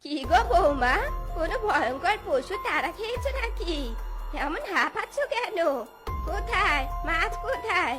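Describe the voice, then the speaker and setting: A woman's voice: very high-pitched, expressive, normal speed, over music. A woman voicing a bold, scolding old jackal mother-in-law in a cartoon, nagging and accusing. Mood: suspicious scolding. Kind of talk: cartoon voice acting.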